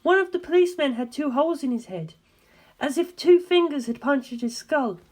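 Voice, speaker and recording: Woman playing intent young woman, high animated voice, earnest, deliberate emphatic explaining, audiobook character. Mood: grave, emphatic.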